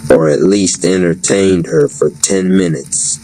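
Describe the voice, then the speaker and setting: A man's voice: deep voice, steady tone, measured pace, mellow, poor audio. A man voicing a cocky, goofy romantic who plays to an imaginary camera like a showy vlogger in a story. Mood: self-congratulating. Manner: grandiose vlogger-style showmanship.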